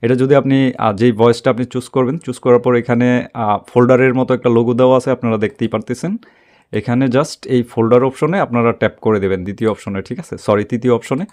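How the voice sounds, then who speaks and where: A man giving an unscripted, step-by-step app tutorial in a halting, repetitive way. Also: medium pitch, steady tone, a little mellow. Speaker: tech tutorial host.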